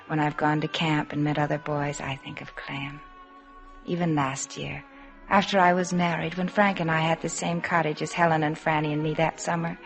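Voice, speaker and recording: A low-pitched, wistful young married woman thinks back aloud, hesitant and reflective. It is a 1950s radio drama monologue, with soft music behind her. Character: wistful young married woman recalling a lost summer love.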